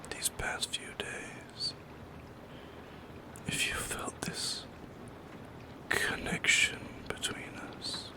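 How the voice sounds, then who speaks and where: A man voicing a lonely, shy shadow creature in an ASMR roleplay, speaking in a soft, halting, intimate whisper with a creaky edge. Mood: shy yearning. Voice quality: breathy whisper with creaky edges.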